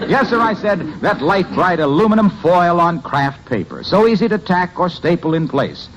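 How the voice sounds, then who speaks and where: An actor playing a jovial, chuckling pitchman pal, hearty and salesmanlike, in an old-time radio comedy. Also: expressive, moderate pace. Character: jovial, self-amused male radio pitchman friend. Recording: noisy old radio recording, audience behind.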